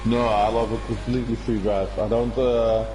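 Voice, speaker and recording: A man's voice: fairly low pitch, little pitch movement, normal speed, mellow. A man whose first language is Dutch, co-commentating an online racing session in English, hesitant, with many fillers and restarts. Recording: music in the background.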